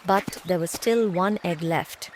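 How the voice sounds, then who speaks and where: A woman's voice: fairly low pitch, measured pace, music in the background. A woman storyteller reading a scripted children's tale.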